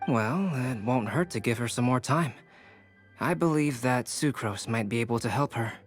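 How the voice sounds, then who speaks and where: An actor playing a calm, polite, analytical young alchemist in a video game, speaking in a composed, formal, inquisitive way. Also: male voice, medium pitch, normal speed, faint music behind, lightly acted.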